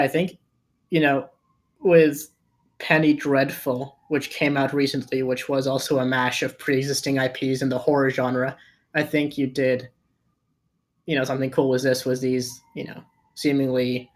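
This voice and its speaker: A man's voice: medium pitch, level tone, moderate pace. A man giving casual feedback on a script in an informal, conversational way. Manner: informal, peppered with "you know". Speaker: table read participant giving script feedback.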